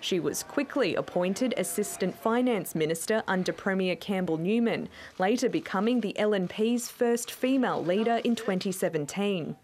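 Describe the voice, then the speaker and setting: A woman's voice: mid-range voice, moderate pace, few pauses, a little mellow, slight background noise. An Australian woman narrating a scripted television news report as a reporter.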